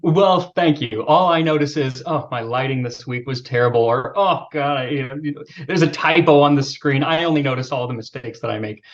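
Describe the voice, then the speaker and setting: A man with a youthful-sounding voice talks as a podcast guest. His speech is relaxed and self-deprecating, with plenty of fillers. The recording sounds a little dull and lo-fi. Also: mid-range voice, brisk, hardly any pauses. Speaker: podcast guest, video creator. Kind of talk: casual interview chat.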